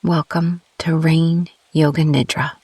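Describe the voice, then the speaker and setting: A woman guiding a meditation in a gentle, calm, unhurried way with long pauses between phrases. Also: deep voice, husky, no echo. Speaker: guided meditation host. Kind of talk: soothing guided meditation narration.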